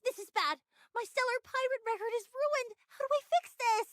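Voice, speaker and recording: A woman voicing an excitable, dramatic young girl space pirate in an anime game. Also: very high-pitched, normal speed, no room sound, clean studio sound. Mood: worried, panicked. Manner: bubbly, exclamatory, dramatic.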